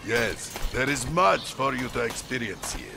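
An actor playing a proud, menacing master hunter in a video game, with a gravelly, grandiose, commanding delivery. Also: male voice, mid-range voice, expressive, moderate pace, background noise. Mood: boastful, menacing. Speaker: villain character in a video game. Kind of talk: video game cutscene and combat acting. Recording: loud game music behind voice. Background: loud game music.

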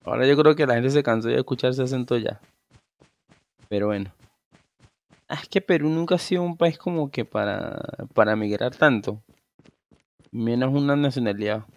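A Venezuelan man in his thirties talks on a headset at home while he plays, easygoing and a bit rambling. His pitch sits mid-range, and the sound is dry and close, with little room around it. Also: lively intonation, moderate pace, creaky, pauses often, no echo. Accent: Venezuelan Spanish. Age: 30s. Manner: chatty, easygoing, rambling with restarts. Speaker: streamer. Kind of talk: casual gameplay chat.

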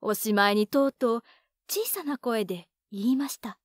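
A woman narrating a children's storybook in an expressive, clearly phrased read-aloud style. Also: fairly high pitch, moderate pace. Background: children's background music.

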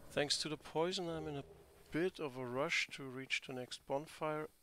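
A man's voice: a little high, normal speed, fairly bright tone, slight background noise. A man in his forties from Bavaria with a German accent, a non-native English speaker joking through a let's-play on a headset mic at home and talking back at the enemies on screen. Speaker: gameplay commentator. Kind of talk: casual let's-play commentary.

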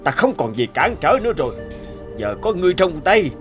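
A man's voice: high voice, very animated, brisk. Pompous evil cartoon king, scornful, voice swoops around, music behind. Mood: scornful, gloating. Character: arrogant, scheming villain king. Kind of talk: Vietnamese fairy-tale cartoon dub.

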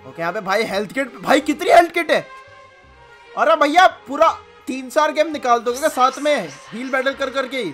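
A young man giving casual, animated gameplay commentary full of slangy, teasing exclamations. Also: very high-pitched, normal speed. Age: young adult. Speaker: gaming YouTuber host. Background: music.

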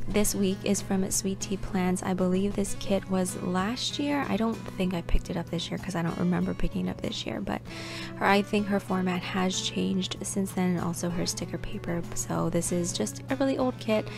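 Chatty young woman, slightly raspy voice. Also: mid-range voice, normal speed. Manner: chatty, scatterbrained with self-corrections. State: slightly raspy, getting sick. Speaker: planner vlogger. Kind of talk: casual voiceover narration. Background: background music.

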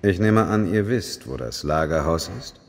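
Very deep, formal gentleman assassin. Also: lively intonation. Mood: composed. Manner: measured, formal, courteous. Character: composed, formal gentleman assassin, dry and dutiful. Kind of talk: dubbed video game cutscene dialogue.

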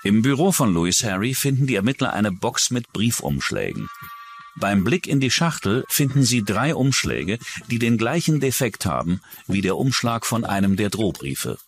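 Low-pitched narrator, lively male delivery. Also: moderate pace, faint music behind. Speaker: documentary narrator. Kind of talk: scripted true-crime narration.

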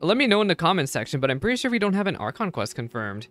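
A young man streaming games, giving casual reaction commentary in a chatty, halting way with repeated words. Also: a little high, very animated, fairly bright tone. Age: young adult. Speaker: game streamer. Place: indoor streaming setup. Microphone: close desk microphone, headset worn.

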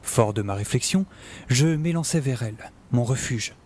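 A man's voice: mid-range voice, animated, normal speed, a little mellow, slight background noise, natural voice. A man playing an optimistic, self-important middle-aged scientist and survivor, narrating an audio drama in a wry, theatrical, musing way. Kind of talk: scripted first-person audio drama narration. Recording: music behind the voice. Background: music.

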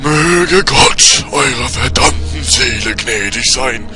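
A solemn medieval man acted by a man in an amateur audio drama. He pronounces gravely and very slowly at a middle pitch, with music clearly behind. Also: animated. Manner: slow, solemn.

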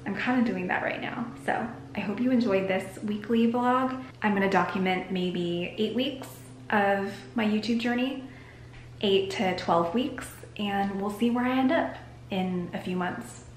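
A woman in her thirties talking to the camera in a casual, reflective vlog from her bedroom, sometimes dropping to a soft near-whisper. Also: mid-range voice, measured pace. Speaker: vlogger. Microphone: camera mic at arm's length. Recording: echoey room with faint hiss.

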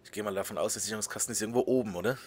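A man provides casual commentary over his gameplay, trading jokes and muttered asides with himself. His voice sits on the low side. A faint hiss runs under the recording. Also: very fast, a little mellow. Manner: joking, muttering asides, whispers while reading. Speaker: let's play host.

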